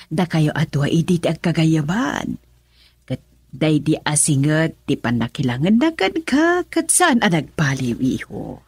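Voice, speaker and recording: A meddling middle-aged mother in an Ilocano radio drama, with a lowish voice that swoops widely. She coaxes and exclaims theatrically in an Ilocano Filipino accent. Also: sing-song, measured pace, slightly rough, dry recording, full character voice. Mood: coaxing.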